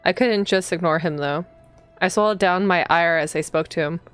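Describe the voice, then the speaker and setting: Woman acting proud, earnest young policewoman, smooth voice. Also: lowish voice, moderate pace, fairly bright tone, faint music behind, close to an everyday voice. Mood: composed. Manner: earnest, indignant. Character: proud, dutiful, easily angered young policewoman.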